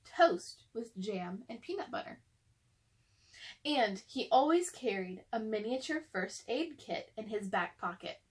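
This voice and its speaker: A woman reading a children's story aloud, narrating and speaking directly to young listeners. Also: medium pitch. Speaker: storybook reader.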